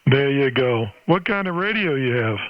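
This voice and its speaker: Through dull, band-limited ham radio audio comes a man in his sixties with a middling pitch. He hosts an on-air contact chat in a folksy, friendly way, with plenty of exclamations. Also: expressive, moderate pace, very noisy. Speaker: amateur radio operator and channel host.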